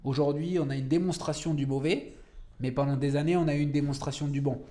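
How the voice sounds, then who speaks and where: A man's voice: a little high, steady tone, quick pace, mellow. A young adult man from France, a cancer researcher speaking as a podcast guest, who slips into English words and searches for French ones. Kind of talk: podcast interview.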